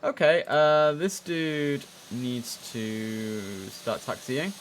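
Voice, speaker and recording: A man's voice: a little high, lively intonation, extremely slow. A man giving casual, chatty gameplay commentary as he plays air traffic controller, thinking aloud in an informal way. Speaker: streamer playing an air traffic control simulator.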